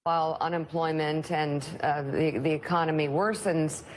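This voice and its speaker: Woman talking news on a replayed tv clip, lowish voice, bit of noise. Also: fairly even intonation, normal speed. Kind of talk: broadcast news discussion.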